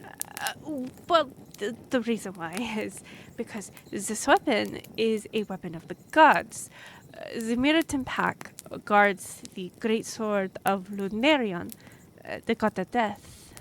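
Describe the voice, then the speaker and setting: A woman's voice: mid-range voice, expressive, slow pace, slight background noise. A woman playing a courteous half-elf royal liaison in a fantasy audio drama, speaking formally and explaining things with some hesitation. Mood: troubled, musing.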